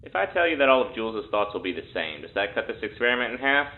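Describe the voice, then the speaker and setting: A man playing a sardonic, irreverent test subject in an audio drama, flippant and deadpan, often muttering. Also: lowish voice, fast. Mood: bored, annoyed. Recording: thin, phone-like intercom sound.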